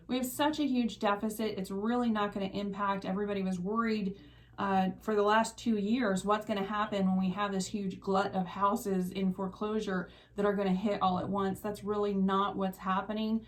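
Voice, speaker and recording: A woman's voice: mid-range voice, steady tone, normal speed. Chatty woman radio host. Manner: chatty, laughs often. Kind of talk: casual radio interview.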